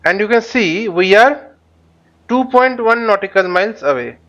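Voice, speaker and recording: A man giving live flight simulator tutorial commentary as an instructor, haltingly thinking aloud as he demonstrates. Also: high-pitched, lively intonation, fairly bright tone. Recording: dull, lo-fi microphone sound.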